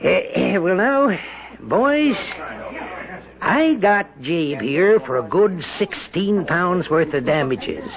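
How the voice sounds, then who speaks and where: A sly, mocking American farmer in an old radio drama. His high voice swoops widely as he wheedles with false heartiness, and it creaks at the edges. The sound is a dull radio broadcast. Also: big pitch swings, takes it slowly, crowd noise, full character voice. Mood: falsely hearty, wheedling. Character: sly, mocking, prosperous older male farmer, wheedling schemer. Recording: dull, band-limited 1970s radio broadcast.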